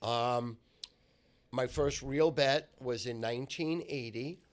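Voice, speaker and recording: A man's voice: mid-range voice, takes it slowly. An older man giving casual sports betting opinions, emphatic and often repeating himself. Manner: emphatic, repetitive.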